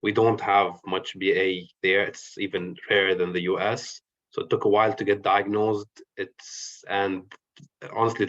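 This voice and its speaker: Low-voiced young man on muffled audio. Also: a bit bright. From Lebanon. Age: 20s. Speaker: medical research fellow and guest speaker. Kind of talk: personal story told as a talk.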